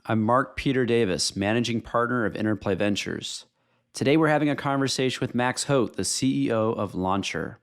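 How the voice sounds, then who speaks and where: A man's voice: mid-range voice, normal speed. A man hosting a podcast, reading a polished intro and ad before asking interview questions. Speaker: podcast host.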